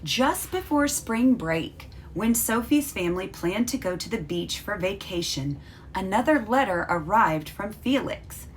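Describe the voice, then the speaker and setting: A woman's voice: medium pitch, expressive, unhurried, slight background noise. A woman reading a children's storybook aloud as a teacher, carefully explaining as she goes.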